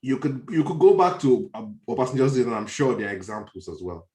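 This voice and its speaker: A man's voice: mid-range voice, very animated, fast talker, a little mellow. A man with a Nigerian accent in a conversational political discussion, speaking emphatically and repeating phrases as he reasons. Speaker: podcast discussion panelist. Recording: roomy, echoey online call.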